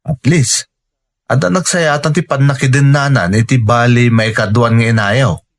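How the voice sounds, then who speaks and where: A man with a Filipino accent playing a calm, caring, supportive friend in a radio drama. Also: medium pitch, normal speed, close to an everyday voice. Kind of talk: Ilocano radio drama acting.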